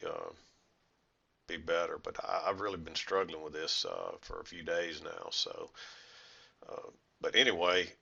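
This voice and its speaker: A middle-aged man with a hoarse, congested voice from a head cold, explaining chart trades in a rambling, self-correcting way. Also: sits low, bright, forceful tone, muffled recording. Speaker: trading educator. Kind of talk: screen-share trading recap.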